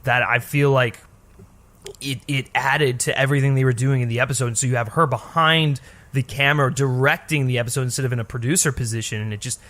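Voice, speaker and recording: A man's voice: mid-range voice, steady tone, brisk, fairly bright tone. A man co-hosting a casual review show, talking in a rambling, conversational way. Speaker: podcast co-host.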